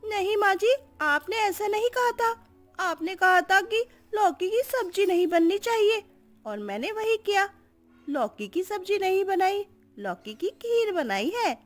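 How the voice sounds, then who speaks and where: A cheeky young daughter-in-law with a high, girlish voice that climbs and dips in lively swings, as a woman puts her on. She talks sweetly and wheedlingly, sure of her own way, in a slow, unhurried delivery over soft background music. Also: full character voice. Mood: sweetly stubborn.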